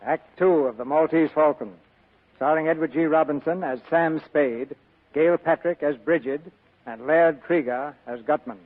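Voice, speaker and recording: Higher-pitched male vintage radio narrator. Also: moderate pace. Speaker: announcer and narrator. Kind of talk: 1940s scripted radio narration. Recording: muffled old radio broadcast with music.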